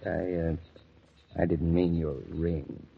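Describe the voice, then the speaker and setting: An actor in a 1940s radio drama playing an affectionate young husband who talks in a dry, gently teasing way. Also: male voice, deep voice, flat delivery, extremely slow, gravelly, husky, exaggerated voice. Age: young adult. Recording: muffled 1940s radio transcription.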